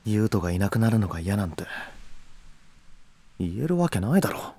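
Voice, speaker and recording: A sullen young heir, expressive. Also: male voice, fairly low pitch, brisk. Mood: troubled. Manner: curt, blunt, defensive. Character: sullen, guarded young heir, proud and blunt. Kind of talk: Japanese voice drama acting.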